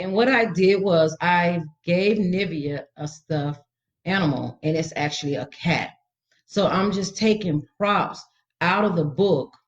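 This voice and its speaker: Slightly muffled, lower-voiced woman teacher. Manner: informal, instructive, repeats key words. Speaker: early childhood educator, radio guest. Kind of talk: explanatory chat to parents. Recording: slightly dull radio audio.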